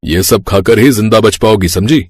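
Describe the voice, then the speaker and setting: A man voicing the male characters of a cartoon family story in a plain, steady manner. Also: on the low side, moderate pace. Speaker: voice actor for the husband, father-in-law and doctor. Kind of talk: cartoon dialogue acting.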